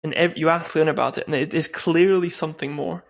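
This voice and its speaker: A man chats about football as a podcast co-host. His voice sits a little higher than most men's and crackles with fry, in a loose, conversational style. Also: steady tone, fast. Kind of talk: casual football discussion.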